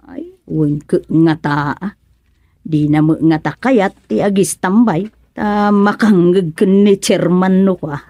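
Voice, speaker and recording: An actress playing a middle-aged wife and aunt with an Ilocano accent in a radio drama comedy. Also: female voice, on the low side, a little slow, warm tone, dry recording, studio quality. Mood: teasing.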